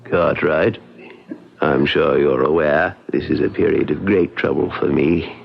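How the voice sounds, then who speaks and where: An actor playing a ruined, despairing gentleman in an old-time radio drama, solemn, weary and deliberate. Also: very deep voice, steady tone, creaky, full character voice. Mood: grave despair. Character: despairing, ruined gentleman, grave and resolute husband. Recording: dull lo-fi radio drama recording.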